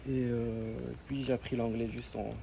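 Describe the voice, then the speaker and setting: Chilean-born man in his twenties, gravelly. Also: mid-range voice, moderate pace. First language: Spanish. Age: 20s. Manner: hesitant, relaxed, many fillers. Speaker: guest. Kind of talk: casual interview chat. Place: Japan. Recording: phone recording, background noise.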